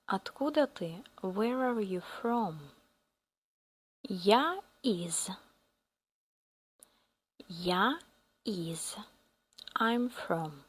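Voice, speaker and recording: Slow husky Russian-accented woman teacher. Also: mid-range voice, lively intonation. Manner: slow, repeats each phrase for learners to copy. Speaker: language teacher. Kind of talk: beginner language lesson.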